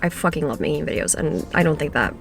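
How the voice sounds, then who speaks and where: A woman's voice: sits low, sing-song, fast, vocal fry, faint music behind. A woman in her twenties, a native Polish speaker, chatting casually through a Q&A vlog and swearing offhandedly. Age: 20s. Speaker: YouTuber.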